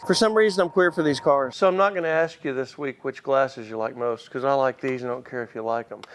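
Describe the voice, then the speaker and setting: A middle-aged man hosting a casual car vlog, ad-libbing in a blunt, joking, salty way. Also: a little high. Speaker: vlog host and car dealer. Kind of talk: casual, ad-libbed walkaround vlog.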